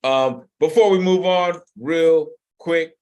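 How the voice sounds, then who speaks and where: Animated man hosting a poetry open mic. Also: a little high, slow pace, clean tone. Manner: animated, shouts and laughs between earnest praise. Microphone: video call.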